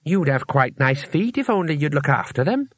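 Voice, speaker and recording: A man's voice: a little high, sing-song, moderate pace. A man voicing a kind, loyal woman in a storybook, with a practical, matter-of-fact and supportive manner.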